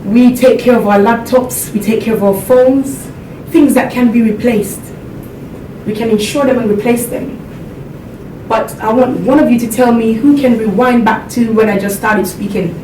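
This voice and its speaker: A woman's voice: steady tone, moderate pace, dark tone. Earnest woman speaker in an echoey hall. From Jamaica. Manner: earnest, laughs along with the audience. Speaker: motivational speaker. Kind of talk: motivational seminar talk. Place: seminar room with an audience. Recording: echoey hall, distant mic, background rumble.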